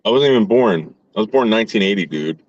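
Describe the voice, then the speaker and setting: A man's voice: fairly low pitch, expressive, fast, no echo, muffled recording. A man in his forties hosting a casual chat while touring a historic site, joking offhand and trading mock insults.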